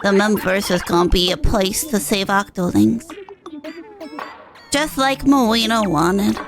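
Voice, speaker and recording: A woman voicing a childish, sulky but good-hearted small AI boss from a video game, with a halting, earnest manner. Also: medium pitch, gravelly, music in the background, voice pushed down. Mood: wistful. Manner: halting, earnest, self-correcting. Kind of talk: reading video game dialogue in character.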